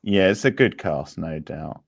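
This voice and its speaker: A man's voice: low voice, lively intonation, unhurried, gravelly, dry recording, studio quality. A man hosting a livestream quiz, chatting casually with viewers in a laid-back, conversational way. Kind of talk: casual live chat with viewers.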